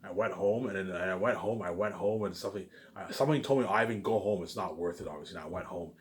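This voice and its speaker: A man's voice: sits low, quick pace. A man giving a rambling, repetitive personal monologue, restarting sentences, leaning on verbal tags and starting emphatically before trailing off into mutters. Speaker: vlogger.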